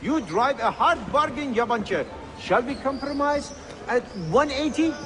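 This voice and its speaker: A man's voice: very high voice, expressive, unhurried, background noise. An actor playing an effusive, theatrical Ottoman rug merchant in a video game, haggling dramatically. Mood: wheedling, indignant. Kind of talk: video game character acting.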